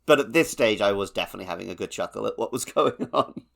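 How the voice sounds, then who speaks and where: A man with a fairly low-pitched voice talks as a podcast co-host. His delivery is informal and conversational, the kind used for talking through a video game with friends. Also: animated, fast talker, vocal fry, a bit bright. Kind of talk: casual video game discussion.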